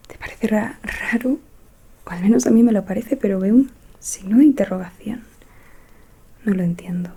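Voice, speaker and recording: A woman as a breathy whispering witch fortune-teller. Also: mid-range voice, mellow. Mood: intrigued, apologetic. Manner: slow, soothing, confiding. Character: gentle, mysterious female fortune-telling witch reading tea leaves. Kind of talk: ASMR roleplay.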